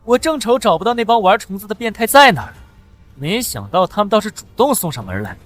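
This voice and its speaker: Man playing a cocky, high-voiced young hero. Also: big pitch swings, quick pace, a bit bright, faint music behind. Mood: mocking, aggressive. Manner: swaggering, mocking, confident. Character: cocky, fearless young male hero with modern weapons. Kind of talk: animated xianxia drama dubbing.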